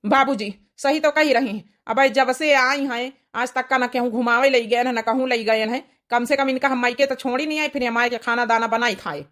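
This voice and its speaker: A woman's voice: on the high side, steady tone, normal speed, few pauses, fairly bright tone, close to an everyday voice. A woman voicing a young henpecked village husband in a cartoon comedy, placating and explaining in an Awadhi accent. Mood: pleading.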